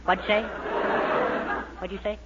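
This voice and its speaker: A man's voice: high-pitched, flat delivery, normal speed, over a noisy crowd, lightly acted. Mild, befuddled middle-aged father played by a man. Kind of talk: 1940s radio comedy acting. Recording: dull old radio recording with hum.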